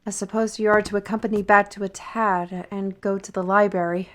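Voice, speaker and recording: A woman's voice: medium pitch, little pitch movement, fairly quick, lightly acted. A woman playing a wry, cryptic artist on parole in a fantasy audio roleplay, grudgingly hospitable and speaking with theatrical deliberation. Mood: wry, restless. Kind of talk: audio roleplay acting addressed to listener.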